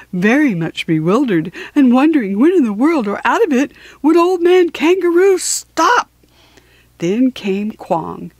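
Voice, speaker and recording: A woman's voice: mid-range voice, darkish tone, no echo. A woman narrating a children's storybook expressively, with dramatic, rhythmic emphasis.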